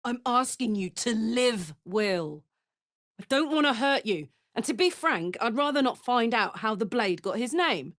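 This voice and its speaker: A woman's voice: on the high side, lively intonation, measured pace, a bit bright, no room sound, clean studio sound. An actress playing a brash, big-hearted tiefling barbarian woman in a video game, blunt and swaggering in manner. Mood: earnest, tender. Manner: blunt, slangy, swearing, swaggering.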